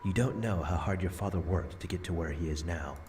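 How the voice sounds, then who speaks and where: An actor playing a gentle, regretful grandfather's spirit in a visual novel game, earnest and tender, with music behind. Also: sits low, close to an everyday voice. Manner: earnest, tender, measured explaining.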